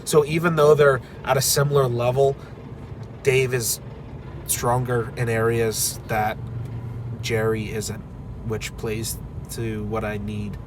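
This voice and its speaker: A man's voice: medium pitch, level tone, slow pace, pauses often. Stuffy-voiced man chatting over car noise. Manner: rambling, filler-heavy, candid. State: stuffy from a cold. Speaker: arm wrestler streaming a live recap. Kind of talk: casual live-stream chat reading viewer comments.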